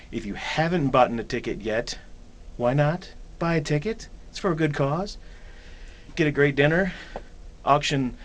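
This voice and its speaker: Man casually promoting, mid-pitched voice. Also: slight background noise. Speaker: playwright and guest promoting a show. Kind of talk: casual promotion and interview talk.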